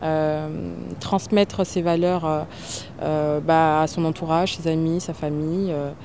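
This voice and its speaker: Hesitant mellow-voiced woman, background noise. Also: low voice, steady tone, a little slow. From Rwandan diaspora. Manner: hesitant, searching for words. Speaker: interviewee. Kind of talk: casual interview talk.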